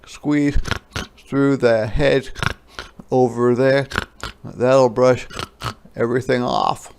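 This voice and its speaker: A man's voice: mid-range voice, steady tone, very slow, vocal fry, a little mellow, clean tone. A man voicing a helpful pig who gives emphatic, snorting advice in a children's storybook. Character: pig, helpful farm animal with suggestions.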